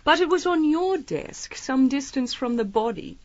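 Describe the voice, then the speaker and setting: A woman's voice: high voice, lively intonation, normal speed, a little mellow, dry recording, muffled recording. An actress playing a shrewd, playful lady detective in a radio mystery, politely probing with mock innocence. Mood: probing, teasing. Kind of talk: radio mystery drama acting.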